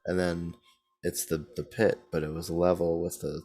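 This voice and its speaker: A man's voice: deep voice, little pitch movement, moderate pace, dark tone. A man in his early thirties chatting casually as a comedian guest on a podcast. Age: early 30s. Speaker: comedian being interviewed.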